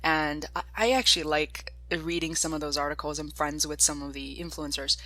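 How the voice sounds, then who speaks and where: A woman hosts a podcast interview, chatty and eager. Her voice sits low for a woman, and she laughs easily between questions. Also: steady tone, a little fast, sharp tone, no echo. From China. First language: Chinese. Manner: enthusiastic, chatty, laughs often. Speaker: podcast host.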